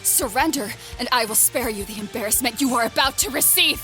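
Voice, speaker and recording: A woman's voice: on the high side, brisk, music in the background, natural voice. A woman playing a noble, commanding captain of the town guard in a fantasy audio drama, formal and authoritative. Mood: defiant.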